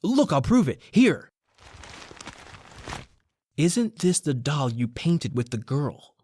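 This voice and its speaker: A man playing a haunted, brooding newspaper reporter who narrates his tale in old-time radio horror style, with dramatic pauses. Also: on the high side, sing-song, a little mellow, a little hiss or hum. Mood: urgent.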